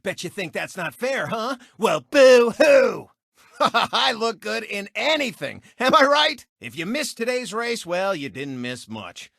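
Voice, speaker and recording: A man's voice: sits high, sing-song, a little slow, creaky, fairly bright tone, no room sound, studio quality, exaggerated voice. A man voicing a cocky, egotistical race car who hosts a TV racing show, with boastful, mocking showboat delivery in a video game. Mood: mocking scorn. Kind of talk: animated video game sportscast patter.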